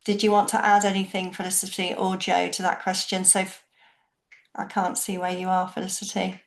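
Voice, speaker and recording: A woman moderating questions at an online book launch on a video call, chairing in a brisk, friendly way. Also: mid-range voice, completely flat delivery, creaky, roomy sound, low-quality recording. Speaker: event moderator.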